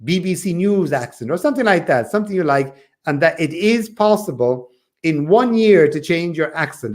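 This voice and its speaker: A man from Ireland working as an English teacher, chatting casually on a livestream and coaching learners in an encouraging, emphatic way, often repeating his phrases. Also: a little high.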